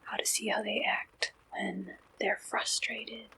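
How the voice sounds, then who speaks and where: Slow, whispering woman in ASMR roleplay. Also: mid-range voice, little pitch movement, sharp tone, poor audio. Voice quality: breathy whisper. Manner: slow, deliberate questionnaire-style delivery in short phrases. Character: attentive female matchmaker at a werewolf dating service.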